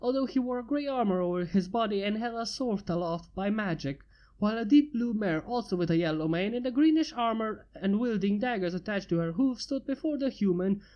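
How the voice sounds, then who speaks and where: A woman narrating fanfiction in a plain, steady amateur reading. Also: medium pitch, a little fast, lo-fi sound. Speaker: fanfiction reader.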